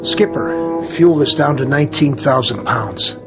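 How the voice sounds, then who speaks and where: A man's voice: a little high, measured pace, loud music behind the voice. An actor playing a blunt, sardonic, anxious airliner crewman who cannot believe his situation, in an audio drama. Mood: tense.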